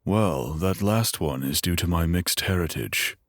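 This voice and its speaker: An actor playing a polite, diffident wood elf minstrel in a fantasy audio drama, with a hesitant, courteous manner. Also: male voice, low voice, animated, a little slow, dry recording, clean studio sound.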